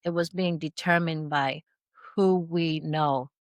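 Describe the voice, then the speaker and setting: A middle-aged woman from Vietnam with a Vietnamese accent, telling her story as a guest in a casual interview, animated and laughing through anecdotes. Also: deep voice, slow, no echo. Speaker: podcast guest.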